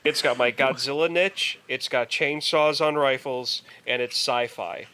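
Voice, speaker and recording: A man with a bright, keen-edged voice at an average pitch. He chats casually as a podcast co-host, often repeating a word while he works out his thought. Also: steady tone, a little slow, clean tone. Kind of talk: casual chat about movies and video games.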